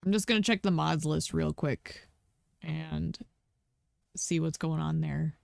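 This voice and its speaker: Croaky woman streamer thinking aloud. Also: low-pitched, fairly even intonation, unhurried, a little mellow, no room sound, clean studio sound. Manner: thinking aloud, many ums, chatty asides to herself. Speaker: game streamer. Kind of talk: casual gameplay commentary.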